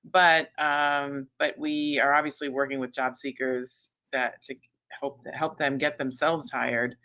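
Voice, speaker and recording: A woman's voice: a bass voice, little pitch movement, pushed and bright, muffled recording. A woman in her fifties who runs a resume writing business, chatting as a panelist in a webinar with lots of fillers and restarts. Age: 50s. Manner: chatty, many fillers and restarts, quick to laugh. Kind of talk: conversational webinar discussion.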